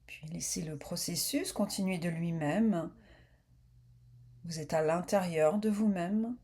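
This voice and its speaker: Woman, yoga nidra teacher, soft mellow timbre, calm, soothing, unhurried guided relaxation. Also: on the low side, breathy edge. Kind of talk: guided relaxation, slow spoken instruction.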